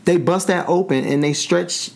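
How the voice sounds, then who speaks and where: A man in his twenties, a guest telling his story in a casual interview, animated and emphatic, his voice jumping high when excited. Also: normal speed, vocal fry. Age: 20s.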